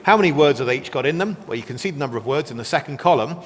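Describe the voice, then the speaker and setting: A man being interviewed as a guest scholar, explaining history quickly and in a friendly way. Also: mid-range voice, expressive. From not from Texas. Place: church classroom or hall. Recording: long hall reverb with faint noise.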